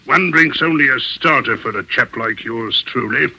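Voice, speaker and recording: An actor playing a rude, mocking, hard-drinking middle-aged British rogue in an old radio drama, blunt and overly familiar. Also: male voice, medium pitch, moderate pace, pushed and bright, slightly rough, full character voice, rough recording. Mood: brash, tipsy.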